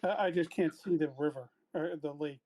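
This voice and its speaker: A man talks casually as a player in a tabletop game. His voice sits a little high for a man, with a mellow, relaxed tone. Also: moderate pace.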